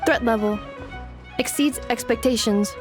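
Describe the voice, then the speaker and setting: A woman's voice: on the high side, unhurried, close to an everyday voice. An actress voicing a polite, literal-minded female robot in a video game, with clipped, formal, emotionless phrasing. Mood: neutral, analytical. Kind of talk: video game cutscene voice acting. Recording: music behind the voice.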